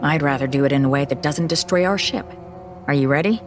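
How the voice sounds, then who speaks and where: Actress as bold female warrior, practical, sci-fi audio drama, clear music bed. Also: low voice, fast talker, natural voice. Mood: resolute, practical. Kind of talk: full-cast audio drama acting.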